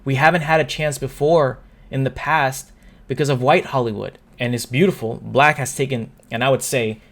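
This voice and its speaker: A young man speaks straight to his camera in a mid-pitched, everyday voice. He sounds upset but earnest, and he stumbles and restarts his sentences as he works through his point. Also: steady tone, normal speed, fairly bright tone. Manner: earnest, stumbling, restarts and trips over words. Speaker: YouTuber. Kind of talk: talking-to-camera opinion vlog.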